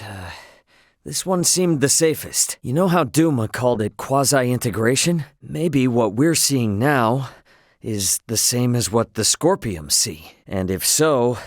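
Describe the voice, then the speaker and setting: Breezy, animated young space pilot. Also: male voice, mid-range voice, dry recording, studio quality. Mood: reflective, earnest. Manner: breezy, slangy, informal delivery. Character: easygoing, cocky young male starship pilot and swordsman. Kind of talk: video game voice acting.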